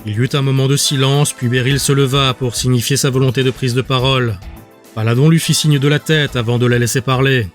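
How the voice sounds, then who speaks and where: A man narrates fiction in audiobook style. His voice sits at a medium pitch, and music plays faintly underneath. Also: fairly even intonation, brisk. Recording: music bed behind the voice.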